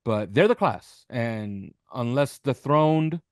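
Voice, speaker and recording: Guy doing off the cuff football analysis on a podcast, emphatic, medium pitch, dry audio. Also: lively intonation, takes it slowly, no room sound. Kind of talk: unscripted sports analysis.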